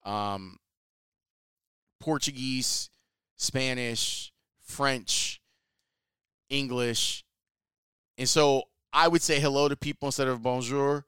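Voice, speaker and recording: A man's voice: mid-range voice, fairly even intonation, slow pace, pauses often, a bit bright, clean tone, dry recording, clean studio sound. A man casually telling a travel story in a chatty, enthusiastic way, using slang. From Chicago, US. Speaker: sports reporter.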